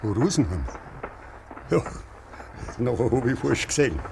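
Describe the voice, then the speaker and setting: An actor playing a shabby, sentimental old tinker and drinker in a 1950s radio play, with a Bavarian accent. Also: male voice, medium pitch, big pitch swings, normal speed, gravelly. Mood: doubting. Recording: faint hiss of old radio recording.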